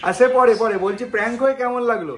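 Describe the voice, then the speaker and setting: A man's voice: extremely high pitch, racing. A young man, talking casually as a vlogger. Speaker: vlogger, prankster son-in-law. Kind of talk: casual vlog chat.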